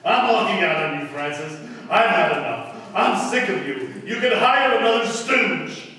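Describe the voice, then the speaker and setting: A fed-up, scheming husband from an old radio melodrama acted by a man. His voice is high and forceful, with urgent, clipped phrasing, carried from far off in a reverberant hall. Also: animated, measured pace, full character voice. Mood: bitter anger. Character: fed-up scheming husband caught between wife and mistress. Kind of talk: 1940s radio drama acting. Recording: distant, echoey hall, background noise.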